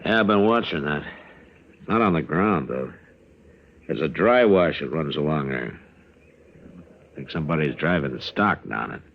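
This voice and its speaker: A man with an American accent playing a calm, dryly teasing, laconic frontier marshal in an old-time radio western. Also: a bass voice, moderate pace, pauses often. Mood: calm, watchful. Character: seasoned, wry frontier US marshal, calm and dry. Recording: muffled, noisy old radio recording.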